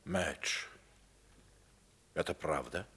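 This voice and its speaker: An actor playing a blunt, suspicious husband in a radio drama. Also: male voice, rumbling low, extremely slow, sharp tone, slightly rough, exaggerated voice. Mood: low, suspicious. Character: blunt, suspicious husband questioning his wife.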